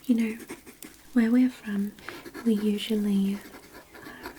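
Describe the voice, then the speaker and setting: A woman playing a kindly, chatty fairy craftswoman in a fantasy ASMR roleplay, softly whispering in a nurturing, slightly hesitant way. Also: mid-range voice, fairly even intonation, slow, slight background noise, natural voice. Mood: chatty, gentle.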